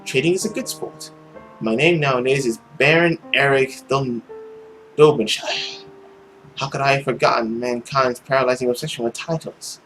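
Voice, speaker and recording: A man's voice: mid-range voice, moderate pace, fairly bright tone, soft background music, natural voice, rough recording. A man playing a world-weary immortal demon who poses as a nobleman, musing theatrically through his journal in a visual novel. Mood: sly musing. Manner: brooding, musing, theatrical diary reading.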